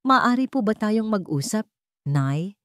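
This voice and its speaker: A woman voicing a humbled grown son who speaks softly and politely, his voice breaking with tears, in a dry storybook narration. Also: mid-range voice, very animated, unhurried, no echo, pitched above the performer's own voice. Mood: humble, ashamed. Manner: soft, quiet and polite.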